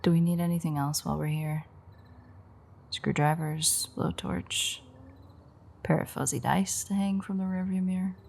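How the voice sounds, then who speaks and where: A woman playing a playful, teasing vampire with dark predatory confidence in an intimate, sardonic audio roleplay, her voice husky and breathy. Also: fairly low pitch, fairly even intonation, moderate pace, long pauses. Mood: playful, coaxing. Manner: teasing, sardonic, swearing banter.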